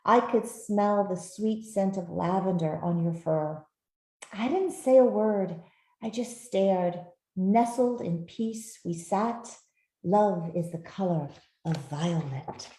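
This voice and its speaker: A woman reading a picture book aloud to children in a gentle, expressive storytelling manner. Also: medium pitch, takes it slowly. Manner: gentle, expressive, with soft asides to listeners. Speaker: author reading her own picture book. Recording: roomy, echoey sound.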